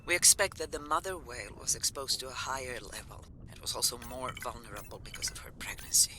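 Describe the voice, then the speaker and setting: A man's voice: medium pitch, expressive, fast talker, natural voice. Man as an earnest researcher over background noise. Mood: serious, informative. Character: earnest, measured male marine research scientist. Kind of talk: scripted report to viewers.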